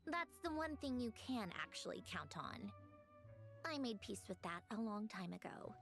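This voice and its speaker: An actress playing a self-assured, guarded young woman who speaks in a calm, measured, wry way. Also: medium pitch, gravelly. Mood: cool. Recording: game music behind.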